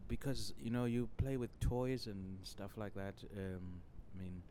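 A man from Zimbabwe, hosting a solo podcast and spinning a long, wandering story. His voice sits a bit low, and he fills every pause with "you know". Faint noise hums under the recording. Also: dark tone. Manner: rambling, leans on "you know" constantly. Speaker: podcast host.

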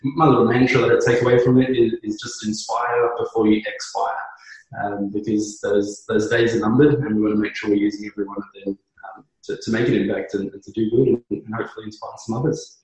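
A man's voice: on the low side, flat delivery, fast, a little mellow, heavy reverb, rough recording. A man in his thirties from New Zealand, a business co-founder talking earnestly as an interview guest, with many fillers and restarts. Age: 30s. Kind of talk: conversational podcast interview.

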